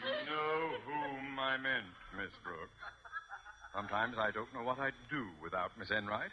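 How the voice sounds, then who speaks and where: An actor playing a pompous, bossy school principal with an orotund, theatrical delivery in a radio sitcom. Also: male voice, mid-range voice, animated, a little slow, lightly acted. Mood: pompous, dismissive. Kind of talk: 1950s American radio sitcom acting. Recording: dull old radio recording, faint noise.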